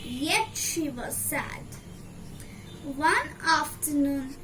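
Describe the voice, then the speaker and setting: A woman reading a children's story aloud in a deliberate, phrase-by-phrase storytelling delivery. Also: sits high, a bit bright. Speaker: storybook reader. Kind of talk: scripted storybook narration for children. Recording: clearly noisy amateur recording.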